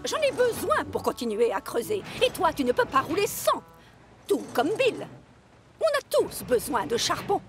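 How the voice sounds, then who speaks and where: A woman's voice: sits high, wildly swooping pitch, normal speed. A woman voicing a bossy, fretful steam shovel in a French cartoon dub, exasperated and dramatic. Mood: annoyed. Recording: music behind the voice.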